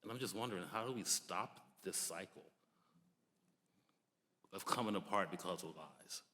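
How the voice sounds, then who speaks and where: A young man shares his reflections in a conversational way at a public discussion. His voice is a bit low, carried by the long reverb of a hall. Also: normal speed, bright, forceful tone. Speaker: divinity student, discussion participant.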